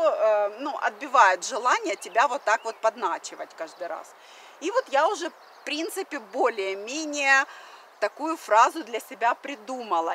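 A woman's voice: a little high, fairly bright tone. A woman from Russia in her thirties, talking casually to her phone camera outdoors by a river, with many fillers and bits of English mixed in. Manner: chatty, many fillers, mixes in English phrases. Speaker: vlogger. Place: outdoors on a wooden deck by a river. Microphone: phone camera at arm's length. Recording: outdoor phone recording, faint background noise.

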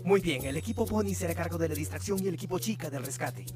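A man's voice: on the high side, fast, close to an everyday voice. Man as a commanding robot team leader over music. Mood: serious, resolute. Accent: Latin American Spanish. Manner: organized, commanding. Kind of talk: animated fandub.

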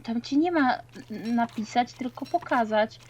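A woman's voice: on the high side, measured pace, a little mellow, breathy edge. A woman talks through a multiplayer game with friends. Her chat is casual and slangy, with English words and swearing mixed in.

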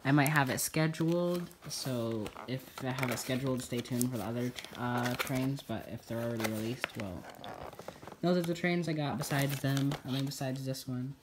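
A man's voice: mid-range voice, normal speed, background noise. A young man with a stuffy nose chatting casually while unboxing a toy at home, rambling with lots of fillers. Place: indoors at a table in a home room.

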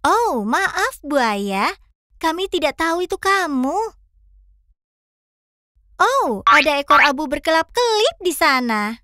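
A woman's voice: high voice, takes it slowly, long pauses, no room sound, clean studio sound, heavily acted. A woman voicing a perky, caring young fox who hosts a children's cartoon and talks to the viewers. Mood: cheerful, curious. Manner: animated, clear, addressing children.

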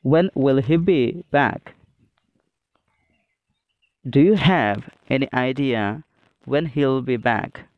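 A man teaching a scripted English lesson, reading out phrases in a deliberate, phrase-by-phrase way. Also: medium pitch, no echo, muffled recording.

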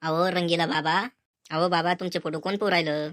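A mouthy young photographer lad played by a woman from a village comedy cartoon. The voice is slightly low for a woman, with a rural Maharashtrian accent, bossing and teasing with sarcasm. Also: flat delivery, brisk, a bit bright, lightly acted. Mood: mocking. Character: cheeky, mocking young male village wedding photographer.

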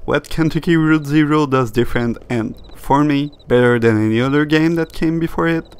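A man's voice: a little high, moderate pace, clear, resonant tone. A man reading a scripted video essay that reviews games, in the role of narrator. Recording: music bed behind the voice. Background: music.

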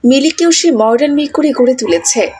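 High-pitched woman narrating a cartoon story. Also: lively intonation, brisk, mellow, a little hiss or hum.

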